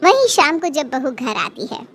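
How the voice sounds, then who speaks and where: A woman's voice: high-pitched, big pitch swings, normal speed, a bit bright, slight background noise. A woman reading scripted narration for a Hindi cartoon bedtime story.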